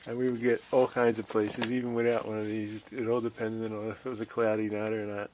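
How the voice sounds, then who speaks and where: A man's voice: fairly low pitch, little pitch movement, a little fast, darkish tone, a little hiss or hum, poor audio. A middle-aged or older American man giving a casual, folksy hands-on show-and-tell, musing as he goes. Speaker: DIY video host.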